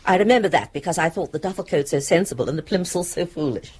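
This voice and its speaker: A woman's voice: fairly low pitch, animated, fast, darkish tone, husky. A woman acting a prim, fussy middle-aged housewife in a radio drama, speaking formally and precisely. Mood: certain, prim.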